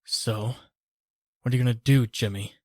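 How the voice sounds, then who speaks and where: A man's voice: medium pitch, fairly even intonation, moderate pace, a little mellow. A man voicing an earnest young superhero who also narrates, in a fan comic dub. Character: young male web-swinging hero, married. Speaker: narrator and lead voice actor.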